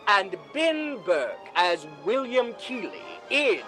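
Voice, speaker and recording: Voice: medium pitch, big pitch swings, takes it slowly, a bit bright, faint music behind. A formal, theatrical announcer introducing a radio drama in scripted 1950s style.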